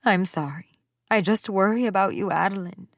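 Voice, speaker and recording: A woman's voice: a little high, lively intonation, moderate pace, no room sound, pitched above the performer's own voice, natural voice. A woman voicing a dramatic, scolding middle-aged mother who speaks with a thick Spanish accent, in a dry close recording. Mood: softening. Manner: loud, scolding, theatrical. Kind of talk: audiobook character voice.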